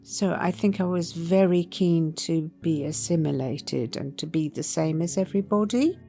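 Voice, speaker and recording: An older woman from Iran, a writer telling her life story in a thoughtful, unhurried way with soft pauses. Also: on the low side, creaky, faint music behind. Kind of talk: reflective personal storytelling.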